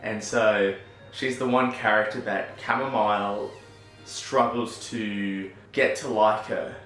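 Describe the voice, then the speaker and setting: A young Australian man chatting casually as a filmmaker, self-deprecating and quick to laugh. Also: lowish voice, steady tone, fairly bright tone. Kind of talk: casual vlog narration. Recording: echoey room with music under it.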